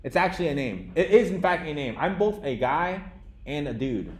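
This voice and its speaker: Casual, animated male coding teacher. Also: fairly high pitch, moderate pace. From United States. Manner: casual, animated teacher, many restarts and "okay?" checks. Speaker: coding instructor. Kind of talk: live classroom coding lesson.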